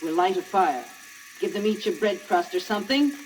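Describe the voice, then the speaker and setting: An actress playing a selfish, scheming woodcutter's wife in a theatrical, scornful manner on an old children's story record. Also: female voice, mid-range voice, expressive, normal speed, slight background noise, close to an everyday voice, rough recording. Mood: cold scheming.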